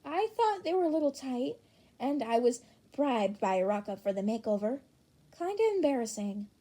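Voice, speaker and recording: A woman's voice: on the high side, lively intonation, normal speed, dark tone. A woman voicing a shy, modest teenage boy with a mild, earnest and hesitant manner in a fan fiction reading. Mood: bashful.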